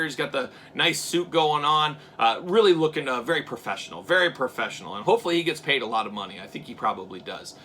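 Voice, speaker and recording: Bright, jokey man rambling through an unboxing. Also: on the high side, slight background noise. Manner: jokey, rambling asides, swings between loud bursts and mutters. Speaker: toy reviewer. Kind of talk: casual unboxing chatter.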